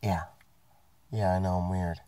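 A man's voice: low-pitched, fairly even intonation, unhurried, mellow, dry recording. Profane guy ranting in his car. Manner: profane, rambling, self-mocking. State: getting sick, voice going. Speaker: vlogger. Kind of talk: casual rant to camera. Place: inside his parked car.

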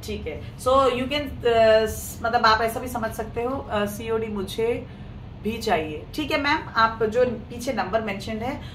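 A woman with a hoarse throat hosting a live clothing sale, chatting casually with viewers in Hindi mixed with English. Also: fairly high pitch, takes it slowly, a bit bright. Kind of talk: casual live-stream sales talk. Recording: echoey room, mic at a distance, faint noise.

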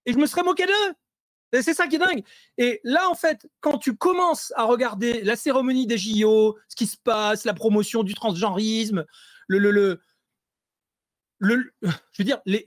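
A man speaking as an interview guest over a video call, hesitant and full of fillers and restarts, growing animated when he presses a point. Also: high-pitched, no room sound, studio quality. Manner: animated, rising intensity when making points. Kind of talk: conversational interview analysis.

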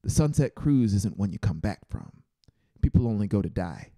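A man voicing a blunt, resolute male cousin in an audiobook, explaining things in a flat, matter-of-fact way. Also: lowish voice, normal speed.

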